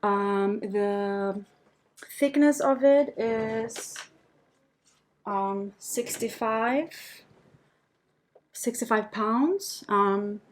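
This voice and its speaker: A woman's voice: fairly high pitch, very slow, pauses often, a little hiss or hum. A woman from Albania speaking casually and chattily as she hosts a live craft tutorial, friendly with her viewers. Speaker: host of a craft tutorial livestream.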